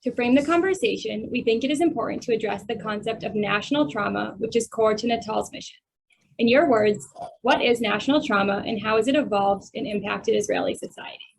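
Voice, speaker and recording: A woman's voice: a little high, fairly quick, gravelly, rough recording. Woman moderating a virtual talk, faint noise. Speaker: council co-chair. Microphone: video call.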